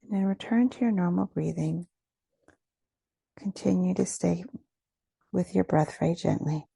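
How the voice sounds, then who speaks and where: A woman leading a guided meditation, giving gentle, soothing instructions with unhurried phrasing and pauses. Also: lowish voice, creaky, breathy edge, no room sound.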